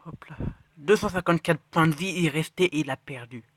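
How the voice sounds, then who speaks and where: A man with a cold giving rambling, excitable commentary on his mobile game matches and chest openings. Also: a little high, fairly quick, a little hiss or hum. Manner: rambling, excitable, self-correcting, drops to mutters. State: has a cold. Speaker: mobile game channel host. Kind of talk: casual gameplay commentary.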